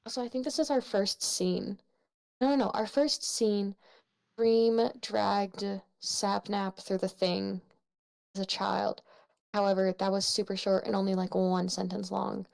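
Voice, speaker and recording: A woman's voice: mid-range voice, steady tone, unhurried, vocal fry, darkish tone. A young woman co-hosting a fan fiction reading, giving casual, blunt commentary in informal interjections. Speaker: co-host critiquing fan fiction.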